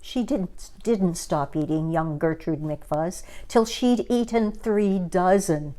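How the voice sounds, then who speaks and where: A woman storyteller reading a children's story aloud in an expressive, dramatic and deliberate manner. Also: on the low side.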